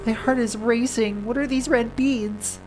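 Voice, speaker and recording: A woman's voice: fairly high pitch, fairly even intonation, a little slow, low-quality recording. A woman game streamer with a very nasal, congested voice, chatting casually and reading game text aloud in the first person. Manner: reads game lines plainly in first person, breaking off to chat. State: congested from a winter cold. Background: faint game music.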